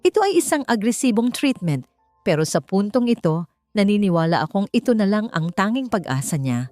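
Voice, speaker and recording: A confident Filipino woman doctor, acted by a female narrator in an audiobook drama. She announces orders with authority and animated intonation over faint music. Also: mid-range voice, brisk, no echo, pitched above the performer's own voice, lightly acted. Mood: resolute. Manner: resolute, announcing.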